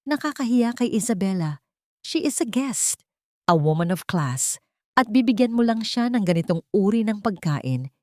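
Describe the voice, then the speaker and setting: A woman's voice: mid-range voice, very animated, moderate pace, a little mellow, no room sound, studio quality, pitched above the performer's own voice, natural voice. A woman narrator voicing a wealthy older mother-in-law in an audio story, scolding and imperious. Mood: angry scolding.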